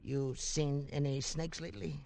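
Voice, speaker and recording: An actor playing a sly, teasing trader in a 1940s radio drama, full of hesitant "uh"s. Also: male voice, a little high, steady tone, moderate pace, gravelly, mellow. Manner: hesitant, mocking, playful laughs. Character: sly Portuguese trader, middle-aged man, teasing.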